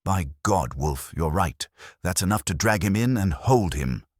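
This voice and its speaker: A man voicing a blunt, tough male police inspector in a narrated detective story, gruff in manner. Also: low voice, expressive, a little slow, no echo, clean studio sound, pitched above the performer's own voice, full character voice. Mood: triumphant.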